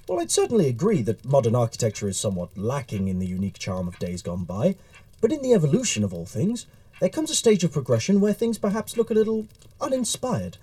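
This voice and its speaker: A polite, very animated gentleman interviewer, mellow-voiced. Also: medium pitch, quick pace. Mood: polite, optimistic. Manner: courteous, hesitant, bookish. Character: polite, earnest, easily flustered Victorian gentleman writer and interviewer. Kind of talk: audio drama acting.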